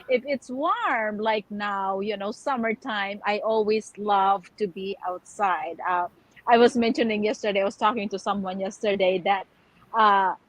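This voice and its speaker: A woman from the Philippines chatting casually in an online group talk, cheerful and quick to laugh. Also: mid-range voice, dry recording, poor audio. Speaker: guest co-host.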